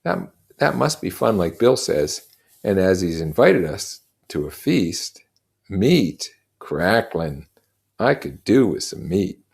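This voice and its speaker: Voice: sits low, sing-song, slow, vocal fry, darkish tone, breathy edge, heavily acted. A reader voicing reluctant, earnest schoolboys pleading their case in a storybook. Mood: wistful, hungry.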